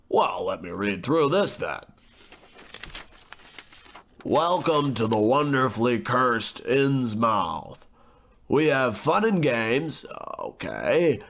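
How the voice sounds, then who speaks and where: A man acting a well-to-do, pompous, easily exasperated gentleman in an old-time radio horror comedy, formal and fussy. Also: medium pitch, a little hiss or hum. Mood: puzzled, reading. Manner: formal, fussy, hesitant stammering when rattled.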